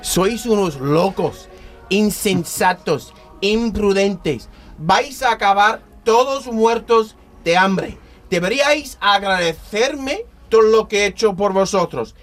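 A man's voice: high voice, animated, slow, a bit bright, soft background music, exaggerated voice. A man playing a scornful, miserly rich villain in a radio play, scolding pompously. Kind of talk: Christmas radio play acting.

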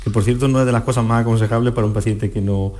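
A man with a dark, mellow voice speaks as a medical guest on the radio. He explains in a didactic way, repeating key phrases to drive them home. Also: on the low side, fairly even intonation, rapid-fire, slight background noise. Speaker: doctor, voice specialist guest.

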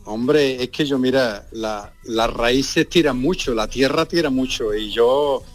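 A man's voice: medium pitch, takes it slowly, faint music behind. Middle-aged man with Andalusian accent. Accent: Andalusian Spanish. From Seville, Andalusia. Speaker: caller living abroad. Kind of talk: casual phone-in chat.

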